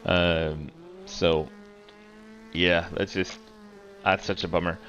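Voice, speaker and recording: A man's voice: sits low, little pitch movement, slow, fairly bright tone, dull audio, slight background noise. A man streaming sim racing, casually talking through a race replay and thinking aloud with many fillers.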